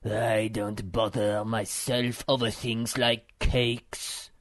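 A man voicing a pompous, ponderous muskrat philosopher, very slow. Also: mid-range voice, flat delivery, dry recording, natural voice. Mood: solemn. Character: pompous, solemn male muskrat philosopher, aloof and dignified.

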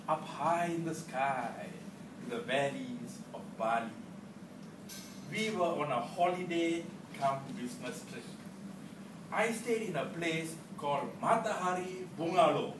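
Malaysian male club speaker, theatrical and very lively, higher-pitched voice, recorded from across a room. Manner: theatrical, deliberate pauses and emphatic delivery. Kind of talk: humorous prepared stage speech. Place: indoor club meeting room. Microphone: far camera. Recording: distant mic in echoey room, noisy.

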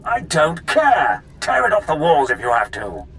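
A man playing a loud, impatient army colonel in a video game, barking demands. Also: fairly high pitch, wildly swooping pitch, normal speed. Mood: angry, impatient.

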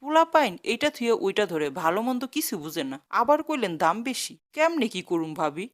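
A woman's voice: on the high side, sing-song, quick pace. A woman with a Bangladeshi accent voicing an overworked, scolding middle-aged mother in a cartoon comedy. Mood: weary complaining. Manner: nagging, colloquial.

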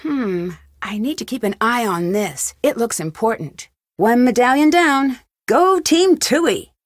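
A woman's voice: on the high side, animated, a little slow, no echo, clean studio sound. A woman playing a curious young female detective in a video game, musing to herself. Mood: triumphant. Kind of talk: video game character acting.

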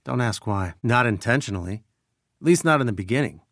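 Male scientist rebel leader in a game: earnest, grave, measured, mid-pitched, cleanly dry recording. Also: lively intonation, fairly bright tone, no room sound. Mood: grave, focused. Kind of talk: video game voice acting.

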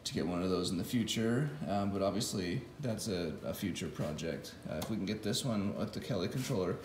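A man's voice: low-pitched, fairly quick, a little mellow, reverberant, slight background noise. A man casually talking through his motorcycle wiring in a home garage, thinking aloud with fillers and restarts, recorded close on a phone camera. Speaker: vlogger building an electric motorcycle. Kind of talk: casual workshop walkthrough.

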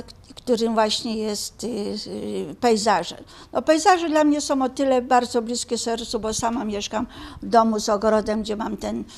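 An older woman talking informally in an interview, haltingly searching for her words. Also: a little high, vocal fry. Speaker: amateur painter being interviewed.

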